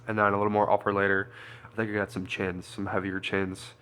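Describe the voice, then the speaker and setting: A congested man, a strength coach, chatting casually through a training vlog and thinking out loud. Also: fairly low pitch, completely flat delivery, a little fast, slight background noise. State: congested, stuffy nose.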